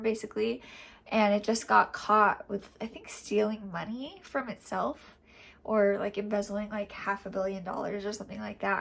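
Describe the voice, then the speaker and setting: A woman's voice: mid-range voice, gravelly. A young woman with a cold and a congested, nasal voice, chatting casually in a rambling reading update full of fillers. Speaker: book vlogger.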